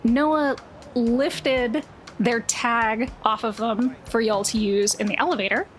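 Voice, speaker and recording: A woman's voice: on the high side, slow pace. A woman narrating a tabletop game as its game master in a conversational, folksy way.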